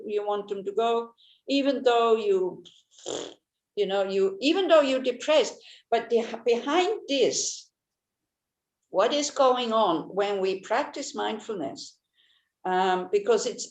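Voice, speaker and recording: A woman whose first language is Swiss German gives a Buddhist teaching in a gentle manner, often restarting and searching for words. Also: on the high side. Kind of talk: Dharma teaching talk. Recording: echoey room.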